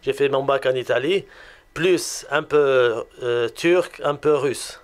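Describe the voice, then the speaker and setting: A man from Lebanon with a Lebanese accent, giving a personal religious testimony in a halting way that often circles back on itself. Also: medium pitch, a little hiss or hum.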